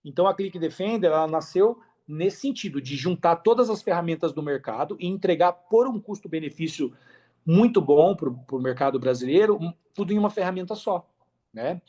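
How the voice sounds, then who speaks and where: A Brazilian man with a fairly high voice speaks as a guest in a casual interview. His delivery is energetic and enthusiastic, full of slang and conversational turns. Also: a little fast. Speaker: podcast guest, affiliate marketer. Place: remote video call.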